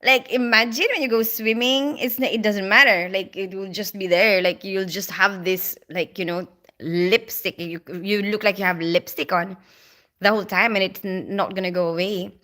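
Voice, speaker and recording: A woman's voice: mid-range voice, steady tone, normal speed, bright, forceful tone. A young woman from the Philippines with a Filipino accent, chatting casually on a livestream and reading out viewer comments, full of "like" and "you know". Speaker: livestream host.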